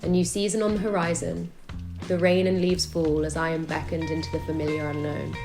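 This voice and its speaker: A young woman narrating a scripted, reflective voice-over in a calm, measured way. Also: low voice, gravelly. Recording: roomy echo, faint music.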